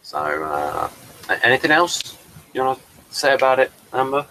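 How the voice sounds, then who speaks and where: A man's voice: mid-range voice, very animated, moderate pace, creaky, pushed and bright, slight background noise, low-quality recording. A man talking casually about his stage play, rambling with many fillers and restarts. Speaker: playwright showing his work. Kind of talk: casual chat on a video call.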